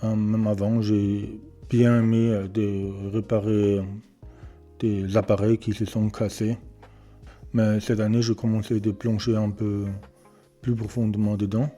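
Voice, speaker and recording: Hesitant German man, slow and low-key. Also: level tone, dark tone, faint music behind. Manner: hesitant, searching for words with many fillers. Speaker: electronics repair beginner presenting his channel. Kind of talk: casual self-introduction and workshop tour. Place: home repair workshop.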